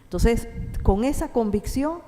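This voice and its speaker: A woman's voice: fairly high pitch, animated, warm tone. Woman speaking in an echoing parish hall. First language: Spanish. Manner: measured, thoughtful, with pauses and fillers; asks the audience questions. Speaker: parish speaker at a church talk. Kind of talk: explanatory talk to a parish audience. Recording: distant echoing church hall with faint noise.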